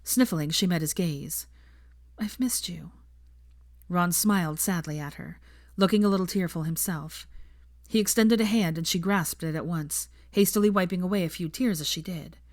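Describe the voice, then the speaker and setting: A woman reading fan fiction aloud as the narrator, in a steady storytelling manner. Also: fairly low pitch, moderate pace, no room sound, studio quality. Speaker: fanfiction narrator. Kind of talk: scripted narration.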